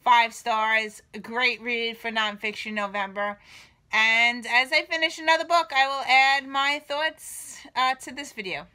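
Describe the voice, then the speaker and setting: Slow, bright-voiced woman with a cold. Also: a little high, no echo. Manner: relaxed, filler-heavy, unhurried. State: slight cold, a little nasal. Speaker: book reviewer. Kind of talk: casual book review vlog.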